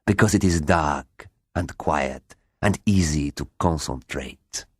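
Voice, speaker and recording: A man voicing a mysterious, scheming woman in a storybook, with a husky, silky voice and a foreign accent. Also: a bass voice, no room sound, clean studio sound, pitched below the performer's own voice, full character voice. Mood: seductive, mysterious. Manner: silky, slow, deliberate.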